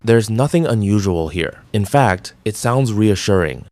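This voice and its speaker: A man giving a measured, deliberate scripted narration as the host of an analysis channel. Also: on the low side, expressive, background noise. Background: background music.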